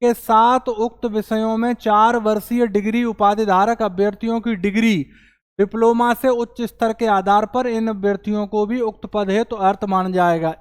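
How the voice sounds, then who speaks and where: A man's voice: sits high, smooth. A man teaching in an explanatory lecture style, reading out passages, explaining them step by step and posing questions that he answers himself. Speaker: coaching teacher. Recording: long hall reverb on the voice.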